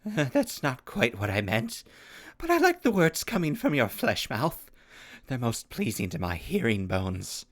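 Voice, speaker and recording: A man's voice: mid-range voice, huge pitch swings, measured pace, a bit bright. A man playing a volatile pyromaniac clown soldier in an audio drama, with grandiose, florid speech and swooping outbursts. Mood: playful.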